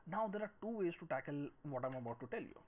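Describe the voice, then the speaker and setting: A man's voice: on the high side, lively intonation, fast talker. A man giving a casual screen-recorded 3D modelling tutorial, talking through each step as he works. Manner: talks through each step while working, muttering counts and shortcuts. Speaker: 3D modelling instructor. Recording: clear background noise, keyboard and mouse clicks. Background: noise with typing and clicking.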